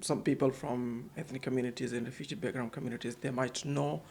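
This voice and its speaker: Gravelly-voiced man. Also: medium pitch, fairly even intonation, a little fast, darkish tone, a little hiss or hum. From Pakistan. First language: Urdu. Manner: hesitant, many fillers and repeated words. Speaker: guest, center manager. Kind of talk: casual podcast interview. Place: office.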